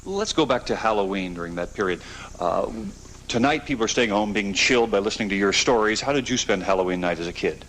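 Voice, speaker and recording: A man's voice: lowish voice, expressive, fast talker, fairly bright tone, slight background noise, rough recording. A man working as a radio interviewer, speaking in broadcast interview style on an old recording.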